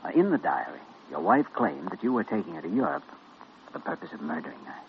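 Radio drama casting: calm hospital doctor, deep and creaky, gently probing, old muffled recording. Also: male voice, fast talker. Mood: serious. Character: calm, reassuring, inquisitive male hospital doctor. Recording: dull, noisy vintage radio recording.

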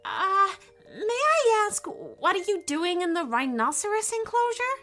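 A woman's voice: very high-pitched, very animated, measured pace, sharp tone, faint music behind, pitched above the performer's own voice, exaggerated voice. A woman voicing a friendly, polite male zoo dog who hesitates and stammers. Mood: awkward polite.

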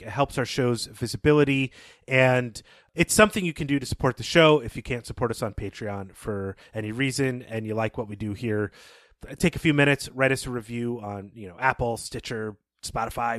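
A man co-hosting a podcast, talking casually and explaining things in a measured, thoughtful way. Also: medium pitch, a bit bright, no room sound, studio quality. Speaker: podcast co-host. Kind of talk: casual podcast talk and story recap.

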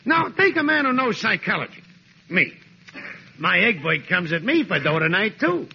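Raspy, cocky American neighbor for radio comedy, very high for a man, wildly animated delivery. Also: normal speed, exaggerated voice. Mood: smugly advising. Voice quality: gravelly, raspy. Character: cocky know-it-all neighbor pal, self-appointed psychologist. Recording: muffled old radio recording.

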